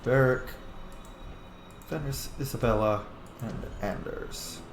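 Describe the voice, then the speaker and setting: A man's voice: mid-range voice, slow. Casual male gamer in a roomy space. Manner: rambling, muttering to self. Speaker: gaming streamer. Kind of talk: casual let's play commentary. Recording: roomy echo, faint background noise.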